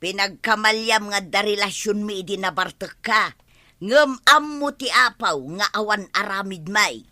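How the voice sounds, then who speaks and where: A grown village woman in a radio drama. She sounds firm and reproachful, with a mid-pitched voice and measured delivery. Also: sharp tone, no echo.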